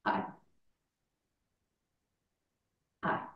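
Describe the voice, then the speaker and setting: A woman playing a grieving, reflective mother in a staged play reading, speaking deliberately with pauses. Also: dull audio, lightly acted. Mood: grieving, searching. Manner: slow, deliberate, with long pauses. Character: grieving mother eulogizing her daughter, halting and reflective. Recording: roomy, distant mic in echoey space.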